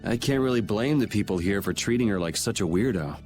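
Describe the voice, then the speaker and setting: A man's voice: lowish voice, animated, a little fast, darkish tone, faint music behind, close to an everyday voice. An actor playing a laid-back young swordsman in a video game, speaking in a casual, dry, teasing way. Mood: thoughtful. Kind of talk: video game cutscene acting.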